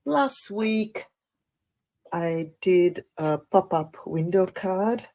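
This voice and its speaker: A woman with a croaky voice after the flu, chatting through a live card-making tutorial with many fillers and apologies. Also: lowish voice, warm tone. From Australia. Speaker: craft demonstrator.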